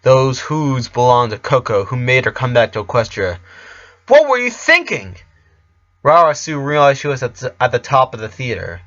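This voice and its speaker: A man reading a scripted story as an amateur narrator, halting and stumbling a little over the text. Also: medium pitch, fairly bright tone, highs cut off.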